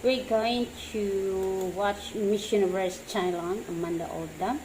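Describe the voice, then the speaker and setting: A woman with a Filipino accent hosting a casual reaction video, talking in a halting, repetitive way. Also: medium pitch, fairly even intonation. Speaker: pageant reaction video host. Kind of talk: casual vlog commentary. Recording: noisy, ambient room sound.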